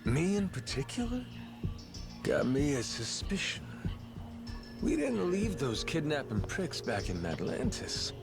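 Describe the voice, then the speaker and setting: Cocky, swooping pirate captain. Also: male voice, on the high side, sing-song, unhurried. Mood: suspicious, needling. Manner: cocky, folksy, slangy drawl. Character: brash, playful middle-aged male pirate captain, ex-yakuza. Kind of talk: video game cutscene acting. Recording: loud music behind the voice.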